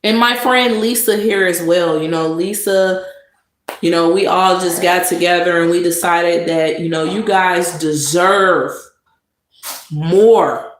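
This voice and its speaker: A woman vlogger delivering a sarcastic monologue of mock praise, with drawn-out emphasis. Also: on the low side, steady tone. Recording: echoey room with noise.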